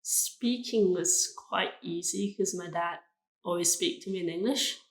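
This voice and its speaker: A woman's voice: fairly low pitch, measured pace, reverberant. A woman in her twenties from Thailand, a native Thai speaker, giving short, plain answers in simple English as an interview guest. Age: 20s. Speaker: guest, university student.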